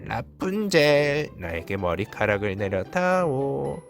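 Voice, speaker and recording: A man's voice: medium pitch, big pitch swings, gravelly, soft background music, pitched above the performer's own voice, exaggerated voice. Man putting on a shrieking, scolding fairy-tale witch. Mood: calling out. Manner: scolding, drawn-out, theatrical delivery. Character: angry, cunning old witch, female, threatening and bargaining. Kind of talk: fairy-tale storybook reading.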